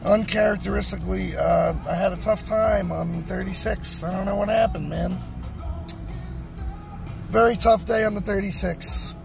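A man's voice: high-pitched, steady tone, moderate pace, vocal fry, over music. A middle-aged man giving a casual recap to camera, repeating his phrases for emphasis, recorded on a phone inside a car. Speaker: bowler and vlogger. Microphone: phone at arm's length.

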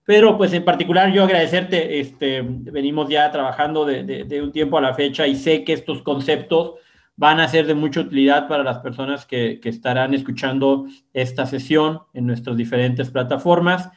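Un hombre que modera un foro en línea presenta al ponente, repitiendo palabras y con muletillas. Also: male voice, a little high, normal speed. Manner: hesitant with repeated words. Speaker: event moderator. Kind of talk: introducing a guest speaker.

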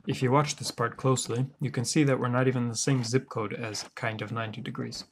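A man giving a scripted DIY tutorial voice-over in a deadpan, wry and sarcastic manner. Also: mid-range voice, brisk, slight background noise. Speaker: woodworker presenting a DIY tutorial.

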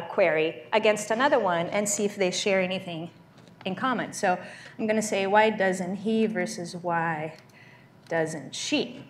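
A woman's voice: medium pitch, slight background noise. A woman with a Brazilian accent giving a conversational, explanatory keynote demo in a large lecture hall. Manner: conversational, explanatory, frequent ums. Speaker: conference keynote speaker. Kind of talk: live demo presentation.

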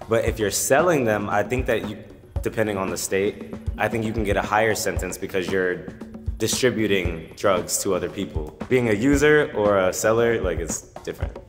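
Confident man, low voice. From USA. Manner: confident, direct opinions. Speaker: interview guest. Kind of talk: answering questions to camera. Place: studio with grey backdrop. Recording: reverberant studio with faint music.

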